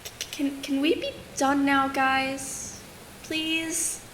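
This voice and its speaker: A young woman acting as a storyteller in an audio drama, with a creaky voice. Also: high voice, flat delivery, takes it slowly, fairly bright tone, a little hiss or hum. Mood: uneasy, pleading. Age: young adult. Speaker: student audio drama actor.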